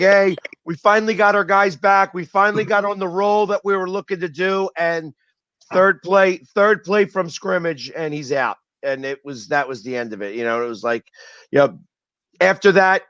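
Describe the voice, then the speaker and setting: A man with a Philadelphia accent hosting a casual sports chat, loud and boastful as he teases his guests. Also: sits high, normal speed, clear, resonant tone, no echo, dull audio. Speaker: host.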